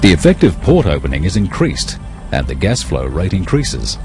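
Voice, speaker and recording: Very deep-voiced male educational narrator. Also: huge pitch swings, music in the background. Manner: measured, explanatory, impersonal. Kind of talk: scripted technical narration.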